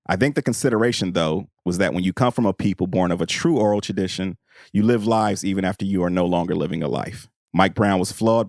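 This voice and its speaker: A man's voice: sits low, darkish tone, dry recording, clean studio sound. A man co-hosting a podcast, talking through and reading from a book in an informal, slangy way. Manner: informal, peppered with slang and "you know". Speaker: podcast co-host. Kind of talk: casual book discussion and reading passages aloud.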